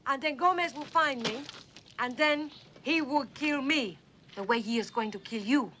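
A woman's voice: high voice, normal speed, sharp tone, slight background noise. An actress playing a fiery, bitter cantina girl who speaks in broken English, in an old screen drama. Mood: scornful, challenging. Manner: broken, non-native English phrasing. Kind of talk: dramatic mid-century screen acting.

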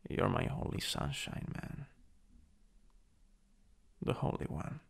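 A man playing a shy, lovestruck coworker in an intimate boyfriend ASMR roleplay, speaking softly and hesitantly, at times nearly whispering. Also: natural voice. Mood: vulnerable, wistful. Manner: hesitant, halting, breathy drops into near-whisper. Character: shy lovestruck male coworker confessing to his crush.

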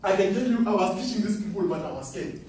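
A young man from South Africa giving an animated, boastful trading seminar to students, often laughing at his own lines. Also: high voice, fast, a little hiss or hum. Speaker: forex trading speaker. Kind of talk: live seminar talk to students. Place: classroom with seated audience. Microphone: far camera, no mic. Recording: echoey classroom from far off.

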